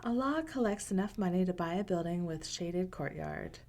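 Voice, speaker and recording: A woman reading a picture book aloud to children in a gentle, unhurried way, with casual asides. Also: lowish voice, expressive. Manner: gentle, unhurried, pausing between short phrases.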